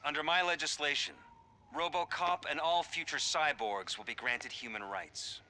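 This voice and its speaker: An actor playing a smug, condescending male politician who talks in a smooth, self-satisfied way. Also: fairly high pitch, normal speed, pushed and bright, slight background noise. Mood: campaigning.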